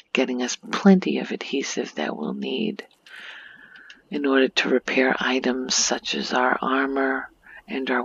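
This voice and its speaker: A woman softly narrating an ASMR video game tour in a breathy, near-whispered voice, explaining gently and without hurry. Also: extremely low pitch, lo-fi sound.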